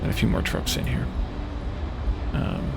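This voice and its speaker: A man giving casual gameplay commentary as a let's play host, thinking aloud in a hesitant, halting way. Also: heavy background noise. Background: music in the background.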